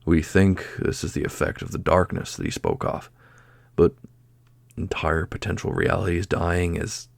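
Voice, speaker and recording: A man playing a solemn, formal guardian of mystical obelisks in a fantasy audio roleplay, with a gravelly, breathy, measured voice. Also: low voice, steady tone, no room sound. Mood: somber.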